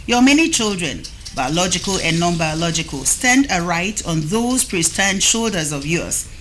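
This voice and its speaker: Woman TV host, lower voice, measured delivery, slight noise behind. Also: a bit bright. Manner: measured, reads poems aloud slowly. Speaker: TV talk show host. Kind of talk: studio interview. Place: TV studio.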